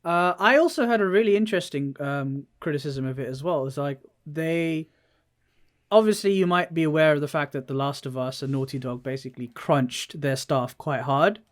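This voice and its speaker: Man with a slightly high voice, chatting casually. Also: moderate pace. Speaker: podcast co-host. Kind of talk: casual chat about video games.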